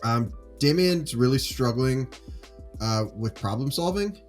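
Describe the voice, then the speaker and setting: A man playing a concerned high school teacher who explains things hesitantly in improvised audio drama. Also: expressive, a little slow, faint music behind, natural voice.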